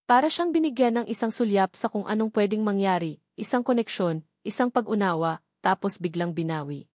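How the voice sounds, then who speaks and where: Female storyteller, Filipino accent, medium pitch, scripted dramatic narration, dry studio-like sound. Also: lively intonation, brisk, darkish tone, no room sound.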